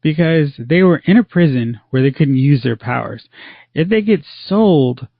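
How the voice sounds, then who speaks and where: A man's voice: fairly high pitch, animated, normal speed, creaky, dry recording. A man hosting a podcast in casual chat, opinionated and complaining with occasional sighs. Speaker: podcast host. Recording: dry, dull lo-fi audio.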